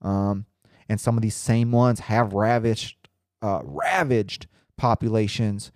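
A man's voice: fairly low pitch, slow pace, dry recording, clean studio sound. A young man hosting his own podcast in casual, rambling, profane talk, a little congested and nasal from a cold. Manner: profane, rambling, laid-back. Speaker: podcast host.

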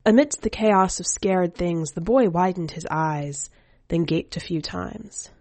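A woman's voice: fairly low pitch, unhurried, darkish tone, no room sound. A woman reading a scripted first-person short story as a podcast narrator. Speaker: podcast editor and story reader.

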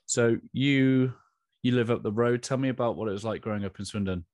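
A man's voice: mid-range voice, brisk, darkish tone, no room sound. A man hosting a podcast, reading a scripted intro and then chatting with his guest, with encouraging murmurs. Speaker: podcast host.

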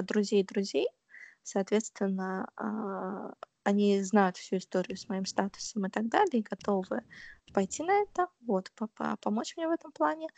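A young woman being interviewed in a casual conversation, talking thoughtfully with many pauses and fillers. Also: medium pitch, creaky, darkish tone, dry recording. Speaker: interview guest.

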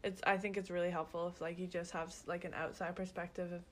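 A woman's voice: on the low side, level tone, fast talker. A young woman from Canada co-hosting a podcast, chatting nervously with many "um"s and "like"s. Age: young adult. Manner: nervous, giggly, heavy use of like and um. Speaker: podcast co-host. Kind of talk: casual chat.

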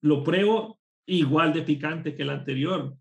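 A man from Costa Rica, a programmer and course instructor, telling lively personal stories as a guest in a casual interview. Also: a little high, unhurried, gravelly, highs cut off. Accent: none, a neutral Spanish. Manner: lively anecdotal storytelling.

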